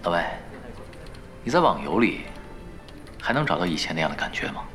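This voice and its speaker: Man acting as veteran pro gamer, teasing, persuasive, unhurried, lively intonation, audio drama, faint music underneath. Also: low voice. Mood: probing, calm. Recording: background music under the dialogue.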